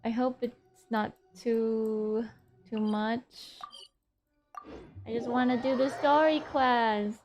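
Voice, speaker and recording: Slow-talking, chatty woman streamer. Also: fairly high pitch, fairly even intonation, darkish tone, faint music behind. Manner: chatty, exclamatory, laughs often. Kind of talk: casual gameplay commentary.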